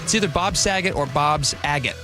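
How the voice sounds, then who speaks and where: A man's voice: fairly high pitch, fairly quick, over music. A man co-hosting a radio show, chatting and joking in a casual, self-deprecating way. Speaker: radio co-host. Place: radio studio. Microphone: studio microphone.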